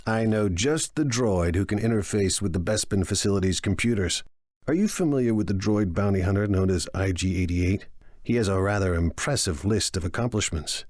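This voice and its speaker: An actor playing a bold, scheming criminal leader in a video game, commanding and self-assured. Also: male voice, on the low side, fairly quick, darkish tone, dry recording, clean studio sound, lightly acted.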